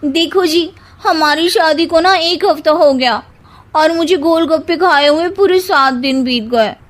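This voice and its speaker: A woman's voice: sits high, unhurried. A woman voicing a stubborn, whiny young newlywed wife in a family cartoon comedy. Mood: complaining. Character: stubborn young newlywed wife obsessed with her favorite snack.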